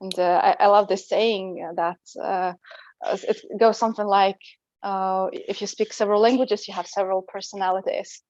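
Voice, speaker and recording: A woman's voice: medium pitch, normal speed, no room sound. Russian-native woman, dull dry sound. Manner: calm, matter-of-fact, with hesitant fillers. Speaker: language teacher and guest. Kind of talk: interview answers.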